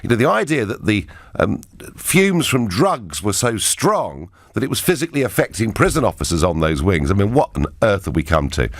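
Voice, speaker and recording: A man's voice: lowish voice, sing-song, moderate pace, no room sound, clean studio sound. A middle-aged man hosting a radio phone-in show, talking in an emphatic, opinionated way with wry asides. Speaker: radio talk show host.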